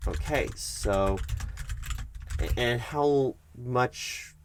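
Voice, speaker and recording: A man doing a soft-spoken ASMR roleplay as a polite, evasive HR manager, speaking in measured, hesitant, formal office phrases. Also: mid-range voice, expressive, slight background noise. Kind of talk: soft-spoken ASMR roleplay, one-sided workplace meeting.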